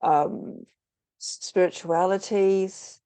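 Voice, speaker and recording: A middle-aged woman speaks as an online interview guest. Her voice sits low and mellow, and the sound is dry and close. She wanders thoughtfully through her answers, full of fillers and false starts. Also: steady tone, slow pace, clear, resonant tone, no room sound. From New Zealand. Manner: rambling, thoughtful, many "sort of" fillers and restarts. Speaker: interview guest, spiritual teacher.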